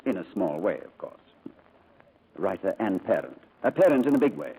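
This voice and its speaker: An actor playing a gentle, excitable new father in 1940s radio style, eager and prone to stammering. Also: low-pitched, normal speed, husky, heavily acted. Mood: proud, eager. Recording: noisy old radio recording.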